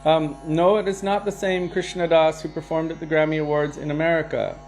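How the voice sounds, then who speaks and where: A man leading a devotional program, casually answering viewer questions and sharing anecdotes in a relaxed way, chuckling now and then. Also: a little high, brisk, faint music behind. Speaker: kirtan leader and devotional teacher.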